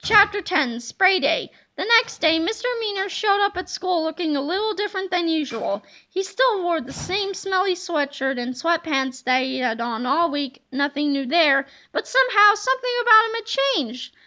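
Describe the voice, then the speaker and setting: A woman reading a children's book aloud with lively, expressive storytelling. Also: extremely high pitch, moderate pace, hardly any pauses, rough recording. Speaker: read-aloud narrator.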